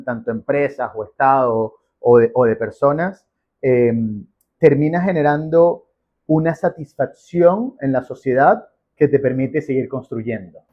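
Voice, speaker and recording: A man's voice: medium pitch, unhurried. A man with a Venezuelan accent answering as an interview guest, explaining emphatically in a conversational way and repeating phrases. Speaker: podcast guest, business professional. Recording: muffled, phone-like narrow band.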